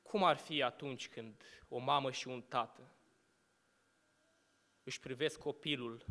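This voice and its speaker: A young male preacher speaks from a lectern in a church hall. His clear, bright voice rings out with a long reverb trailing each phrase. He builds rhetorical questions and lets his sentences sink to a hushed close. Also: medium pitch, fairly even intonation. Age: young adult. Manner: measured rhetorical preaching, rising on questions and dropping to hushed endings. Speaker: student preacher. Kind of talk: sermon. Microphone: lectern microphone.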